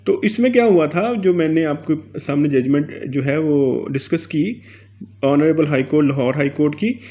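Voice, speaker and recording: A man's voice: on the high side, normal speed. A man teaching law informally, point by point, mixing in English terms and often adding little check-in tags. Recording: dull lo-fi sound.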